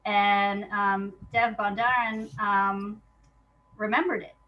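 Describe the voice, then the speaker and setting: A woman speaking as an interview guest, a playwright chatting casually about her work and telling it in an animated way, acting out remembered exchanges. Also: mid-range voice, slow, lo-fi sound.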